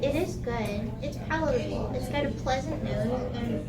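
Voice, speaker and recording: A woman's voice: high voice, moderate pace, over loud music. A woman tasting beer with friends, upbeat and decisive. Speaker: taster. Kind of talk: casual chat. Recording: echoey room, distant mic, loud crowd.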